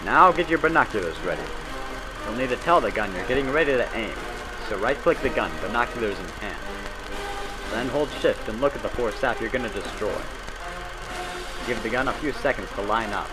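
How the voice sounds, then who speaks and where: A man's voice: mid-range voice, lively intonation, poor audio. Man narrating like a 1940s training film, music behind. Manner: brisk, instructive, folksy period phrasing.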